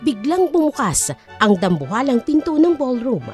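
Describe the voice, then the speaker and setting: A woman's voice: high voice, sing-song, moderate pace, darkish tone, faint music behind. A woman narrating an audiobook with a dramatic, scripted storytelling delivery.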